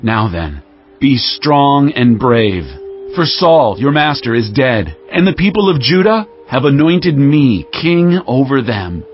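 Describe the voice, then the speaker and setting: A man playing an earnest, devout warrior king, speaking in a measured, declamatory way in dramatized scripture audio. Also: mid-range voice, very animated. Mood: solemn blessing. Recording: dull lo-fi audio with music.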